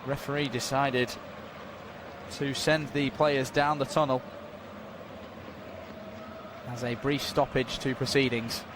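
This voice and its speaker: A man giving unofficial live commentary on a football match, calmly filling a stoppage with updates and statistics. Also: medium pitch, noisy background. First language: not Dutch. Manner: measured, informative, filling time with stats and updates.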